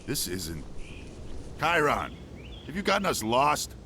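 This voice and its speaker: Game soldier: blunt war veteran, gruff and gravelly, music behind. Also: male voice, medium pitch, huge pitch swings, slow, sharp tone, slight background noise, full character voice. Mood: wary. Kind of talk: video game cutscene acting.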